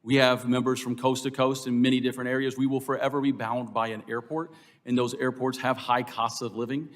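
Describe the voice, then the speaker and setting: A man's voice: medium pitch, flat delivery, fairly quick. An American man testifying as a union leader at a hearing, formal and emphatic, with oratorical repeated phrasing. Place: indoor committee hearing room.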